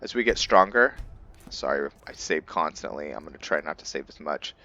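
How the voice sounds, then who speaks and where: A man's voice: rumbling low, animated, moderate pace, creaky, bright, forceful tone, faint music behind. A man giving casual gameplay commentary as a let's player, thinking aloud in short bursts.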